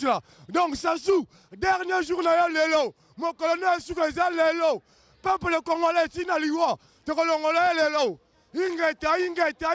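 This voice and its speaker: A man's voice: very high voice, fairly bright tone, no room sound. A man at an outdoor march, giving a fiery, rallying speech. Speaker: protester. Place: outdoor march on a city avenue.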